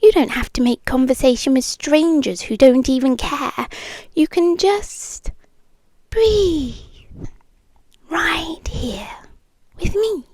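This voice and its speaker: Woman playing a slow, whispery British yandere girlfriend. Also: high-pitched, lively intonation, dry recording, heavily acted. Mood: coaxing, tender. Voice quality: soft, breathy, often dropping into whispers. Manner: slow, lingering, coaxing delivery with pauses. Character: possessive, doting yandere girlfriend, soothing and clingy. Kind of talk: intimate ASMR roleplay, soft and whispered. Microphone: close microphone, dry.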